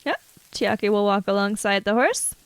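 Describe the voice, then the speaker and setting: A woman's voice: mid-range voice, normal speed, clear, resonant tone, no room sound. A woman taking part in a tabletop role-playing game, chatting casually and describing what her character does.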